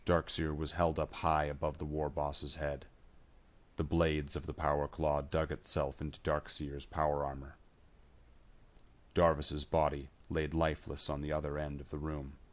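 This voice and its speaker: A man reading scripted science fiction battle narration. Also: very deep voice.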